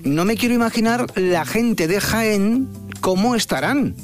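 Male news anchor, higher pitch, chatty and expressive, faint music bed. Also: measured pace. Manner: expressive, sighing exclamations, conversational. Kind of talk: chatty live news presenting.

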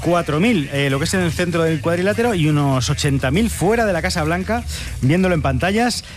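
Fast-talking sarcastic Spanish man. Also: fairly high pitch, lively intonation, faint music behind. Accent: Spain Spanish. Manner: sarcastic, joking asides. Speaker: host. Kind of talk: satirical news monologue.

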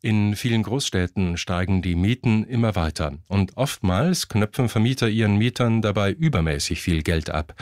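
A man reads the news on the radio in a low voice. The sound is dry and close, and he delivers scripted bulletin items as a presenter. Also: no echo.

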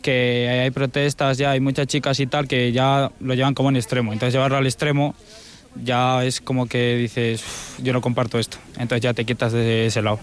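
A man's voice: mid-range voice, level tone, normal speed. A man giving a casual opinion as an interviewee. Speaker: street interviewee.

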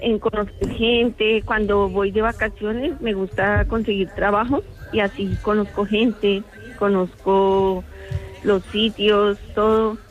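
A middle-aged Colombian-accented woman phones in to a radio show. Her voice sits at a medium pitch and she shares anecdotes informally, with soft music behind her. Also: level tone, slow pace, dull audio. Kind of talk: casual chat, personal anecdotes.

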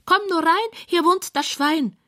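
A woman voicing a vain, lonely, excitable pink pig in a children's bedtime story, squealing and theatrical in a self-important way. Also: extremely high pitch, unhurried, pitched above the performer's own voice, full character voice. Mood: showy, welcoming.

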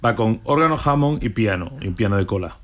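Friendly music agent, relaxed. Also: male voice, fairly low pitch, fairly quick. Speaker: music booking agent guest. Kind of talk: radio interview.

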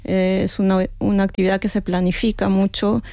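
Woman, Peruvian accent, creaky voice, dry sound, reflective, conversational interview answers, frequent tag questions. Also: medium pitch, flat delivery, fairly quick, dark tone, no room sound. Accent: Peruvian Spanish. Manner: reflective, often seeking agreement with tag questions. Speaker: interviewed author.

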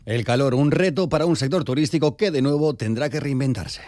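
A man's voice: medium pitch, brisk, noisy background. A man with an accent from Spain reading a scripted report as a radio news reporter.

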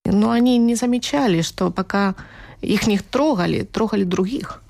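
A woman from Ukraine, an author being interviewed, speaking thoughtfully and pausing to search for words. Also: mid-range voice, creaky, darkish tone. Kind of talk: reflective interview talk.

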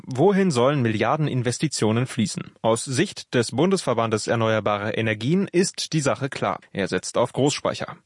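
A man reading a scripted business report for radio in a measured, even way from a studio microphone. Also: medium pitch, no room sound. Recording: dry studio sound.

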